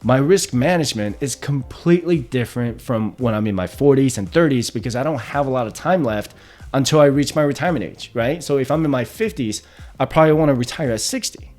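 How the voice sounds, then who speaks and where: A man in his thirties talks through the figures in a steady explainer tone. His pitch sits right in the ordinary middle range for a man. Soft music plays faintly underneath. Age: 30s. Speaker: personal finance channel host. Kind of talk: explainer walking through retirement numbers.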